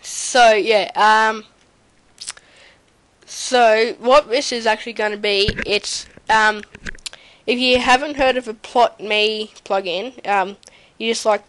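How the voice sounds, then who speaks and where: A young woman with a slight cold, slowly touring her game server with many pauses and fillers. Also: on the high side, steady tone, fairly bright tone, rough recording. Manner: hesitant, many ums, slow and rambling. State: slight cold, runny nose and cough. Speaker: server owner giving a tour. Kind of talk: casual gameplay commentary. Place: home room at a computer. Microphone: desk mic near the keyboard, typing audible.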